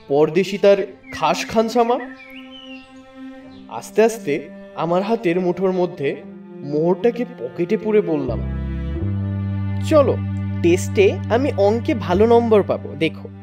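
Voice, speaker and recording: Audiobook narrator, expressive swooping delivery, scripted first-person storytelling, a bit off-mic, music behind. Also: medium pitch, unhurried, pauses often, recorded from a distance. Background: background music.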